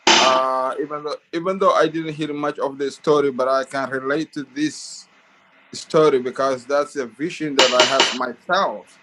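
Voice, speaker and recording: A man's voice: medium pitch, fairly even intonation, very noisy. Somalia-born man, call-in guest, hesitant, restarting often, mid voice. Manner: halting, many fillers and restarts. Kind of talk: casual group call. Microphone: video call.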